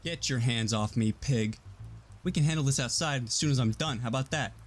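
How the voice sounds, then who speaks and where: A man's voice: mid-range voice, fairly quick, lightly acted. Audio drama: surly blue-collar male suspect, played by a man, short-fused, combative, foul-mouthed, faint music underneath. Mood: angry, hostile. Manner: belligerent, defensive, swearing.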